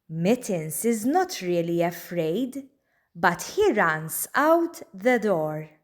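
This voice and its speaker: A woman reading a children's storybook aloud in a deliberate, expressive way. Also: medium pitch. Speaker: children's book reader. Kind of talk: read-aloud storybook narration.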